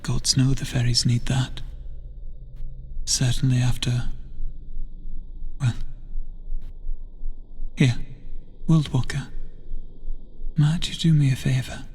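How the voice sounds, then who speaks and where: A man playing a gentle, guilt-ridden lantern bearer in a fantasy video game, speaking softly in old-fashioned phrasing, half-whispered, hesitant and solemn. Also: mid-range voice, steady tone, slow, pauses often, a little hiss or hum, natural voice. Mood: gentle, courteous. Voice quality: breathy, husky, half-whispered. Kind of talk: soft-spoken video game NPC dialogue, theatrical archaic phrasing.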